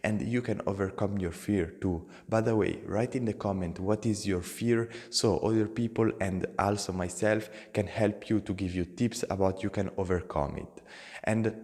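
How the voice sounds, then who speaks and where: A man's voice: on the low side, normal speed, darkish tone, in a large hall. A young man with an Italian accent, talking earnestly to camera as a motivational channel host, in simple non-native phrasing.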